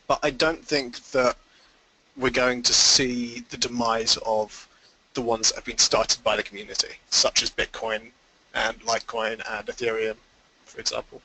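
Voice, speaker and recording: Bright-voiced man explaining on a call. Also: mid-range voice, flat delivery, normal speed, rough recording. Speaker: investor guest. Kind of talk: conference call interview answers.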